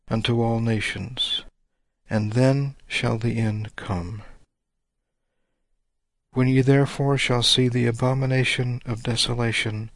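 A man preaching a sermon, reading scripture slowly and deliberately, his voice a little rough and congested. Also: mid-range voice, a bit bright, no echo. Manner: deliberate, measured reading of old-style scripture.

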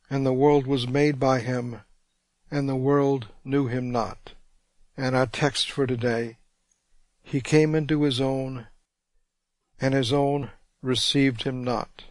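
Slow, gravelly, congested male preacher. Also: mid-range voice, steady tone, darkish tone, no echo. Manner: slow, deliberate preaching with scripture reading. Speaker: radio preacher. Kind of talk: radio sermon.

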